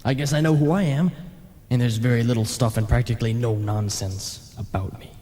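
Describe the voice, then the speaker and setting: An actor playing a chatty, peevish, matter-of-fact ghost in a folksy old-time radio comedy manner, with hollow reverb on the voice. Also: male voice, mid-range voice, brisk, dark tone, close to an everyday voice.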